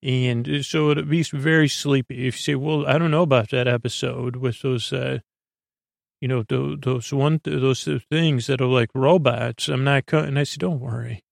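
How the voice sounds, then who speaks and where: Meandering man with a creaky, gravelly voice. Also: medium pitch, steady tone, no room sound, studio quality. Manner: meandering, halting, with trailing thoughts and self-corrections; soothing, lulling. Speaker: host of a bedtime sleep podcast. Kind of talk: rambling bedtime monologue.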